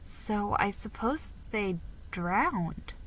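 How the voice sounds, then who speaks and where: Woman voicing an earnest, bright-voiced protective big sister. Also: mid-range voice, lively intonation, very slow, full character voice, poor audio. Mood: thoughtful.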